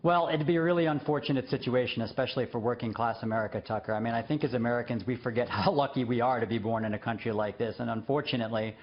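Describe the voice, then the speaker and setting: A man's voice: mid-range voice, fast, hardly any pauses, highs cut off. A man appearing as a guest on a news show, speaking earnestly with frequent fillers and short laughs. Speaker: guest, journalist and former diplomat. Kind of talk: remote interview.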